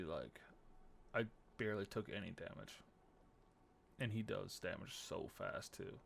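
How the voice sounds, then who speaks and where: Guy streaming a game, chatty commentary, lots of vocal fry, kinda low. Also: a little hiss or hum. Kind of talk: casual live game commentary.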